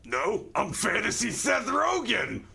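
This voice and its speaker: A man voicing a goofy, laid-back stoner celebrity caricature, gravelly and strained, slangy and boisterous. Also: high-pitched, huge pitch swings, slow, bright, forceful tone, full character voice.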